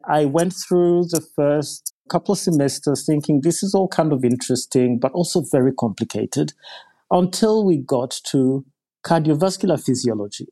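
Male physician guest, measured explainer with a dark, mellow voice. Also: on the high side. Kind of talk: podcast interview answer.

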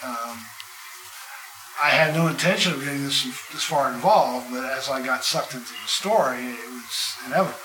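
A man's voice: medium pitch, moderate pace, pushed and bright. A man talking casually as a panelist at a live convention panel, in a roomy, noisy space. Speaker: panelist, podcast producer. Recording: echoey room, clear background noise.

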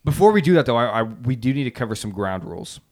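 A man's voice: medium pitch, very animated, fast talker. A man hosting a podcast in a casual, chatty style. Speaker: podcast host.

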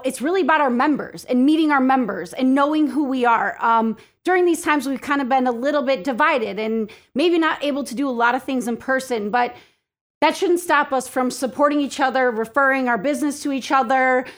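High-voiced, upbeat woman in interview. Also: a little fast. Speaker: podcast guest, chamber of commerce president. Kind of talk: casual interview talk. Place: home basement studio.

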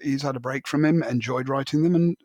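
A man's voice: mid-range voice, fairly quick, darkish tone, dry recording. A man co-hosting a conversational book discussion, informal and thinking aloud, repeating words as he makes a point. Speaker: podcast co-host.

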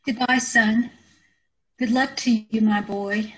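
Woman acting as high-voiced, slow, worried mother. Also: steady tone, poor audio. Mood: worried, tearful. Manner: hesitant, pleading, fretful. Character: worried, tender mother of a grown son. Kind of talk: old-time radio drama acting.

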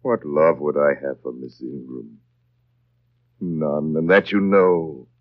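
An actor playing an imperious, tormented gentleman in love, with intense theatrical delivery in a 1930s radio drama. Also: extremely low pitch, steady tone, takes it slowly. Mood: ardent, intense. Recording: muffled vintage 1930s radio recording.

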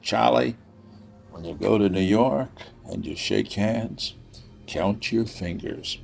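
Deep-voiced, halting older American man. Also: vocal fry, a little mellow, faint music behind. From New Jersey, USA. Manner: halting, searching for words. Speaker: podcast host and sound designer. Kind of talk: rambling casual conversation.

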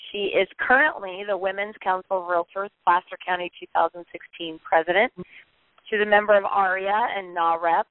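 A woman's voice: on the low side, no room sound. A woman hosting a podcast interview, upbeat and enthusiastic as she introduces her guest. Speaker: podcast host. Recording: tinny phone-like line, dry.

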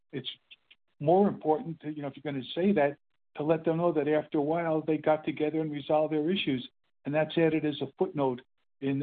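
Older man in his eighties, rambling phone-in testimony, tinny line audio. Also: a little high. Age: 80s. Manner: rambling, halting, with many fillers and restarts. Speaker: meeting speaker sharing his story. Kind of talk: casual spoken testimony.

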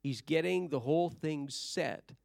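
A slightly hoarse man giving a sermon-style Bible history lesson, telling it in a measured, explanatory way and stretching key words. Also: a little high, a little mellow, no room sound, studio quality.

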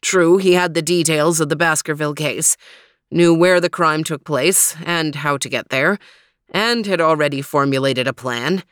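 Woman as a brisk, no-nonsense dog detective. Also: low voice, fairly bright tone, dry recording, studio quality. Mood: confident, then resigned. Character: gruff, impatient male dog detective, sensible second-in-command. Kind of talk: storybook character reading.

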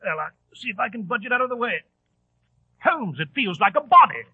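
A tinny, narrow-band vintage radio recording carries an excitable English gentleman with a British accent. He is earnest and exclamatory, a loyal companion easily flustered, and his voice sits high with a husky edge. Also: a little fast, heavily acted. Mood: alarmed. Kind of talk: 1940s radio drama acting.

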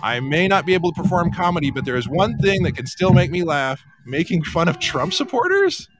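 A man's voice: sits high, sing-song, a little fast, very noisy. A man hosting a casual live podcast chat, tossing in wry, incredulous asides. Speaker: podcast host.

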